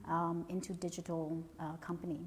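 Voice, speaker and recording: A middle-aged woman, answering interview questions as a company founder, measured and polite with frequent pauses. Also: fairly low pitch, little pitch movement. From born in Cambodia, raised in the United States. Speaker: guest, company founder and CEO. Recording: long hall reverb.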